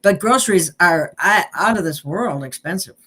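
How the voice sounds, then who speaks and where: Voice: mid-range voice, lively intonation, moderate pace, bright, forceful tone, slightly rough. A show host chatting casually, rambling and laughing often between remarks. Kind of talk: casual online chat.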